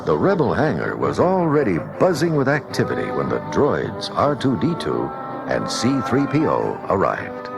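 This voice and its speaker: A man's voice: lowish voice, huge pitch swings, normal speed, creaky, over music. A man narrating a scripted children's read-along story.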